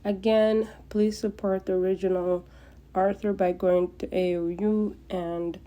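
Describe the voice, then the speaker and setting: A woman's voice: medium pitch, flat delivery, measured pace, dark tone. A woman narrating a piece of romantic fan fiction as a podfic reader.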